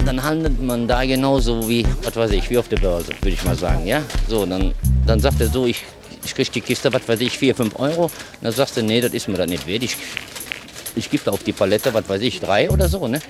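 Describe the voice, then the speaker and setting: A man's voice: medium pitch, lively intonation, fairly quick. A man, middle-aged or older, giving casual, colloquial interview answers as a market trader, often adding tag questions. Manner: colloquial, tags phrases with "ne". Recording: clear music under the voice.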